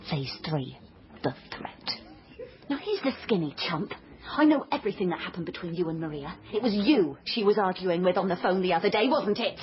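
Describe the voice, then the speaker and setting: Headstrong young female detective played by an actress, melodramatic and wry, very animated delivery, slightly muffled radio drama sound. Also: lowish voice, brisk, background noise, close to an everyday voice. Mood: angry, demanding. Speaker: lead character and narrator. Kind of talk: comic radio drama with wry noir-style narration.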